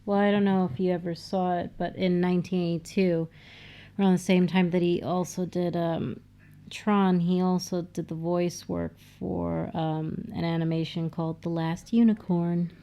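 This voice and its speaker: A woman with a nasal voice chats through a film commentary, full of asides and filler words. Her voice sits a little low and sounds mellow and dark. The recording is dull and lo-fi. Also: little pitch movement, smooth. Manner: conversational, quick asides, frequent fillers. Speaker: podcast co-host. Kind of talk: casual film commentary chat.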